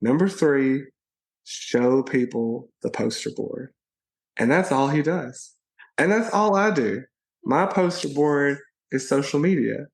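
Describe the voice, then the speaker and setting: A man's voice: mid-range voice, expressive, takes it slowly, vocal fry. A man, chatting in an interview as a guest, playful and bubbly and often laughing. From Alabama, USA. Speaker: guest, social media personality. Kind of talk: casual interview chat.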